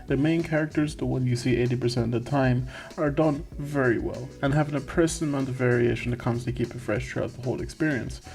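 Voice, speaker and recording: Male reviewer, mid-pitched, tongue-in-cheek scripted narration, music underneath. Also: fast talker, creaky, darkish tone. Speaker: video game reviewer.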